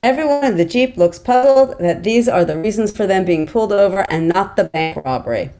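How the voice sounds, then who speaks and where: Mid-pitched woman narrating a script. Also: animated, fairly quick, warm tone, soft background music. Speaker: stage-direction reader.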